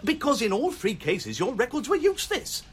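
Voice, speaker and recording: An English actor playing a pompous, gullible police inspector in a radio comedy, long-winded and smugly emphatic. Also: male voice, extremely high pitch, very animated, moderate pace, slight background noise. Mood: smug explaining. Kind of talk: BBC radio comedy acting.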